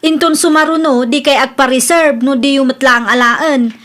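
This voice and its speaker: An actress plays a young woman with a very high voice and an Ilocano accent. She is a respectful, hardworking daughter and online seller in a radio drama, earnest and quick to protest when wronged. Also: steady tone, a bit bright. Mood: indignant.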